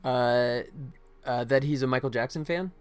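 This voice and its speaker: A man's voice: medium pitch, moderate pace, smooth, soft background music. A man livestreaming as he works, thinking aloud in casual chat, sometimes muttering to himself. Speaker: livestream host, game animator.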